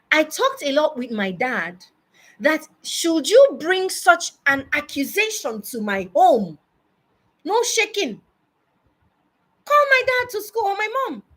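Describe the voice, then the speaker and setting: A woman in her early forties with a Nigerian accent and a high voice speaks directly to parents. She takes her time, delivering each point slowly and emphatically, often repeating a phrase to drive it home. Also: fairly bright tone. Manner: emphatic, deliberate, with repetition for stress. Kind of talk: personal advice monologue.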